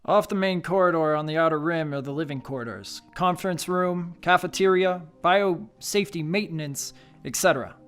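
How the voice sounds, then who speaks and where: An actor playing an idealistic, cautious scientist in a radio play, measured and explanatory. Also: male voice, fairly high pitch, a bit bright, smooth, soft background music, natural voice.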